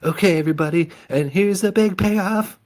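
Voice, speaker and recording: A man voicing a bossy, upbeat woman teacher in a storybook reading, in a loud high falsetto. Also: moderate pace, close to an everyday voice.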